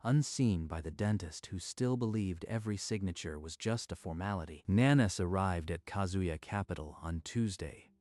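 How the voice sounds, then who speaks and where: A man narrating a manga dub story in a steady, even storytelling manner. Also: low voice, normal speed, hardly any pauses, no room sound, studio quality.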